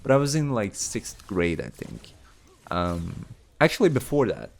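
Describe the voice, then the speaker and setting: Deep-voiced young man chatting casually. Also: sing-song, a little slow, gravelly, slight background noise. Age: late teens. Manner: rambling, with many fillers and restarts. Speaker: YouTube creator. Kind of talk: casual Q&A chat.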